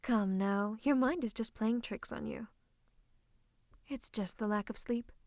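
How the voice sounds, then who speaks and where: A woman's voice: a little high, unhurried, no echo, clean studio sound. A woman playing a scheming royal advisor and sorcerer in an ASMR roleplay, smug and mock-courteous, slipping into menacing whispers. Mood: feigned innocence. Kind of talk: ASMR roleplay, theatrical monologue to the listener.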